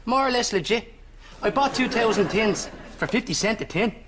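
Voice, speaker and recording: An actor playing a dim, shifty small-time Irish wheeler-dealer in a studio sitcom, cheeky and matter-of-fact. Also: male voice, high voice, animated, normal speed. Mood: defensive. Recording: noisy live studio audience sitcom.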